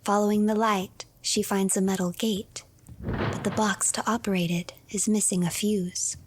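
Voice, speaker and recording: A woman narrating a story game in a hushed, breathy storytelling manner, as if reading a tale aloud. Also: mid-range voice, level tone, moderate pace, noisy background.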